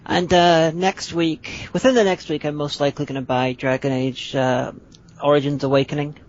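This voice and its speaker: A woman's voice: sits low, steady tone, darkish tone. Hesitant woman, lo-fi audio. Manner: hesitant, fills pauses with "uh". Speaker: podcast co-host. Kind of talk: casual chat. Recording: dull lo-fi podcast audio.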